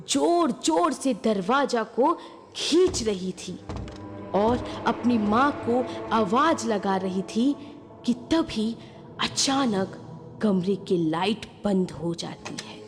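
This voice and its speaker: A woman narrating a horror story dramatically, building suspense with phrases broken by pauses. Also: a little high. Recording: long reverb, clear music behind.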